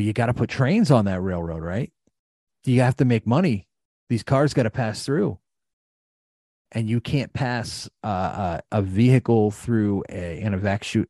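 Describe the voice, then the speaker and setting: A man's voice: on the low side, dark tone, no room sound, clean studio sound. A middle-aged American man giving an impassioned personal account, blunt and emphatic, repeating phrases and swearing. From Ohio, United States.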